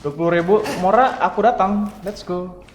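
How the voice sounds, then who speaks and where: A man's voice: sits high, brisk, a little hiss or hum. A man with an Indonesian accent giving casual, informal gameplay commentary as a streamer, reacting to the game. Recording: roomy sound with game music. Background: game music and sound effects.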